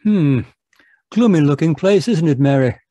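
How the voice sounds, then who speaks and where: A man playing a pompous, patronising Victorian gentleman doctor and fond husband in an old-time radio mystery, with a husky voice. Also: fairly high pitch, lively intonation, normal speed, no echo. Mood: wary. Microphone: video call, close and dry.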